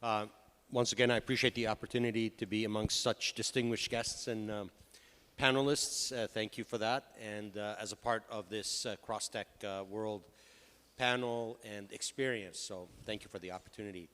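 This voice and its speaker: A man's voice: lowish voice, steady tone, moderate pace, fairly bright tone, smooth. A middle-aged man from Lebanon speaking as a panelist, telling his own story at a conference. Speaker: panelist, payments executive. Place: large conference hall. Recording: reverberant hall, PA sound.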